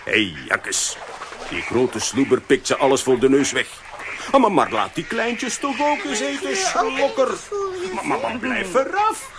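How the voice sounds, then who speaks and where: An actor playing a bumbling, kindly, easily flustered cartoon uncle in a children's audio drama, exclaiming and muttering to himself. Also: male voice, high-pitched, big pitch swings, measured pace, background noise. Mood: indignant.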